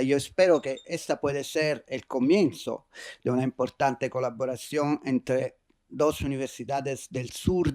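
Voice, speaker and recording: Italian man, keynote speaker, halting non-native delivery, slow and dry. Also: mid-range voice, vocal fry, a little mellow, no room sound. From Italy. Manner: hesitant, many fillers and restarts. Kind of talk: conference lecture. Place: university lecture hall.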